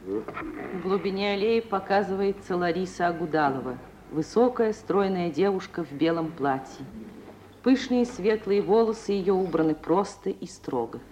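Низкий женский голос радиоведущей спектакля. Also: female voice, lowish voice, darkish tone, poor audio. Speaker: narrator of a radio play. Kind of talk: scripted narration of stage action, 1950s radio. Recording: old radio recording, faint hiss.

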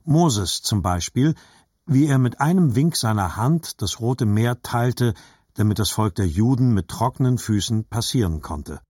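An actor narrating as a grown man remembering his childhood, measured and reflective, in scripted literary style. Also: mid-range voice, expressive, a little mellow, breathy edge, no room sound, clean studio sound.

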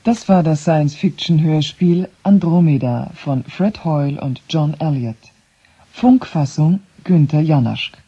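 A radio announcer reading scripted credits in a formal, deliberate manner. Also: medium pitch, mellow, breathy edge. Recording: dull, band-limited old radio recording.

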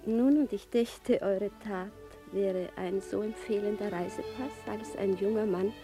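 An actress playing a gracious, composed princess, speaking in a measured, courtly way in a German radio drama. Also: female voice, medium pitch, fairly even intonation, natural voice. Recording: prominent music under the voice. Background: music.

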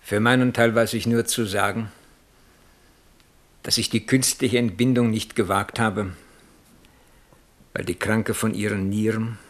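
An actor in a German radio drama playing a solemn, deferential court doctor who speaks formally, in a hushed and halting way. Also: male voice, on the low side, husky. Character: solemn, deferential royal physician defending himself.

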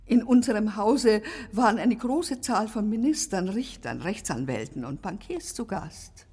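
Casting: haughty, remorseless villainess for radio drama, lowish husky voice, contemptuous delivery, reverberant space. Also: female voice, lively intonation, darkish tone. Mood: smug. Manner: deliberate, contemptuous. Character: cold, unrepentant, haughty female villain, married accomplice. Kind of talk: radio play acting. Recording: long reverberant room sound.

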